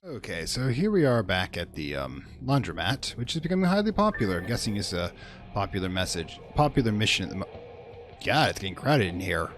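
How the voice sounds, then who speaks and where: A man's voice: fairly low pitch, very animated, brisk, faint music behind. A man hosting a gaming video, chatting casually and rambling as he thinks aloud during his let's play commentary.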